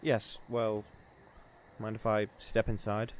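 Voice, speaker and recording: An actor playing a polite, quietly serious traveller in a comedic fantasy audio drama. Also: male voice, fairly low pitch, monotone, slow pace, slight background noise. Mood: reserved.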